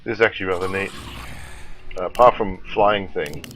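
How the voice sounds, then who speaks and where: A man's voice: low-pitched, moderate pace, a bit bright, faint music behind. A man with a sore throat from a cold, casually commenting on his gameplay as a let's play host and thinking aloud with lots of ums.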